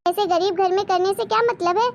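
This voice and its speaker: A woman's voice: extremely high pitch, steady tone, a little fast, a little hiss or hum. A woman playing a meddling, disapproving neighbourhood auntie in a cartoon, gossipy and scolding. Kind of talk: Hindi cartoon moral-story dubbing.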